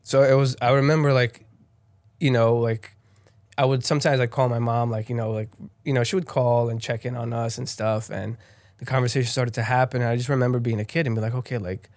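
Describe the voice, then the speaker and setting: A man's voice: medium pitch, level tone, fairly quick, a little mellow, no echo. Rambling young Brazilian man, dry sound. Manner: rambling, many fillers and restarts. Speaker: guest. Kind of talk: casual interview chat.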